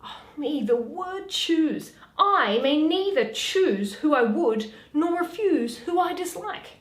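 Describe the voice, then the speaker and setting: A woman performs a young noblewoman of classical theatre, witty and weary, with a mocking edge. The voice is high and swoops expressively through slow, deliberate phrases in an echoey room. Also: lively intonation. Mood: exasperated. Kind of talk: theatrical Shakespeare reading.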